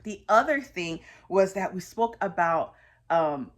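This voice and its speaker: A woman's voice: lowish voice, animated, unhurried. A woman in her thirties from the United States, talking through personal reflections in an earnest, conversational way with frequent fillers. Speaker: host sharing personal reflections.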